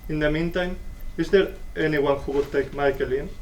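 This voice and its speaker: A self-assured, patronizing embassy bureaucrat played by a man talks formally in a stage reading. His voice is on the high side, picked up at a distance with faint noise. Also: fairly even intonation, normal speed, a little mellow, close to an everyday voice. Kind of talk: dramatic reading of a stage play.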